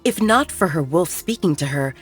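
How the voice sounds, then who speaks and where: Lively low-voiced woman narrating a story. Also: moderate pace, husky, soft background music. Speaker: audiobook narrator.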